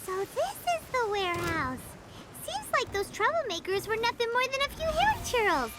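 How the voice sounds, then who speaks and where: A woman's voice: very high-pitched, big pitch swings, moderate pace. A woman voicing a tiny floating girl companion in a video game, bubbly and exclamatory. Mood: eager. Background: game music.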